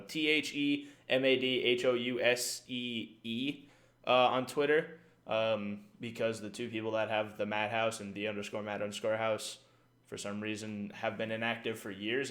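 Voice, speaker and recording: A man's voice: lowish voice, fairly even intonation, normal speed, a bit bright. A man who casts esports matches, talking casually on a livestream and rambling with lots of fillers. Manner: rambling, frequent fillers and "right?". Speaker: esports caster giving tips.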